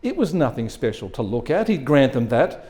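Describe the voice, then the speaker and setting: A man reading a short story aloud as an expressive narrator at a live event. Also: medium pitch, normal speed. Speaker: story reader.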